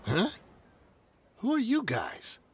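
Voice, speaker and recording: A man playing a shifty, nervous ex-mercenary smuggler in a video game, furtive and expressive. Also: sits high, slow, breathy edge, heavily acted. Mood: wary, furtive.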